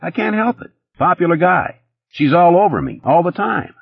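A man voicing a jittery, boastful, sleazy young playboy who talks fast and nervous, his voice pitched well above his own. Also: expressive, gravelly, no room sound, lo-fi sound, heavily acted. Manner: rapid, nervous, boastful, pitched high and pushy.

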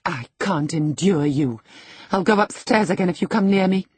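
A woman's voice: lowish voice, big pitch swings, slow, dark tone, slightly rough, no echo, voice pushed up, exaggerated voice. A woman voicing a haughty, scornful young lady in an audiobook novel, speaking with cutting, imperious indignation. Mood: disgusted, indignant. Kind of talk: classic novel character dialogue in audiobook reading.

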